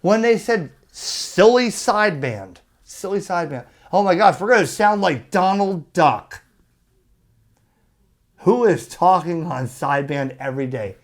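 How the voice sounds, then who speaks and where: Emphatic man ranting with swooping pitch. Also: expressive. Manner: emphatic, with rhetorical questions and pauses for effect; exasperated, argumentative. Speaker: amateur radio YouTube host. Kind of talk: opinionated rant to camera.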